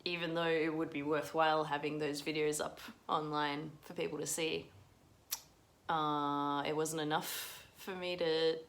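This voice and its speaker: Rambling woman vlogger, lower voice. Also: flat delivery, unhurried, fairly bright tone. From Russia. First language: Russian. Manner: rambling, self-deprecating, laughs often. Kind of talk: unscripted vlog ramble.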